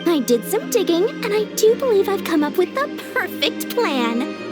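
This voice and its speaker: A woman's voice: very high voice, big pitch swings, normal speed, over music. A woman playing a cunning, greedy young merchant with cheerful, theatrical sales patter in an anime game. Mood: sly, confident.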